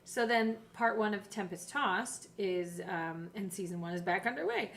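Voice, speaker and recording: A woman's voice: medium pitch, animated, moderate pace, creaky, fairly bright tone, a little hiss or hum. Chatty woman podcast host, casual talk w some reading out, laughs easily. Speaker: podcast host reading episode summaries and asking questions. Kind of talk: casual chat with read-out summaries.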